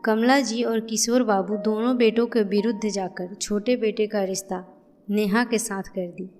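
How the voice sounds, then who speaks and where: A woman's voice: medium pitch, fairly even intonation, a little slow, darkish tone. A woman reading scripted narration for a cartoon family story, with music behind her.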